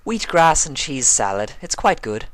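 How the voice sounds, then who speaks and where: A man's voice: mid-range voice, very animated, a little slow, fairly bright tone, no echo, pitched above the performer's own voice. A man voicing a refined, dramatic female pony with dainty, genteel phrasing in a storybook reading. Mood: polite, refined. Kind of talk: storybook character voice in fan fiction reading.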